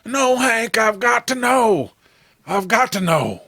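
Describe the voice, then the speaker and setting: An anguished backwoods man from a horror comic, acted out by a male reader. The voice sits high and bright, with folksy rural phrasing. He sounds pleading and overwrought, a simple man in grief. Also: sing-song, slow, dry recording, clean studio sound, heavily acted. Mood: shaken, determined. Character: anguished backwoods swamp man grieving his brother.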